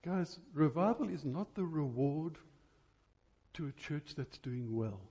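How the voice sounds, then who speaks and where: A man preaching an impassioned church sermon, emphatic, with rhetorical questions and deliberate stresses on key words. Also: a little high, expressive, dark tone.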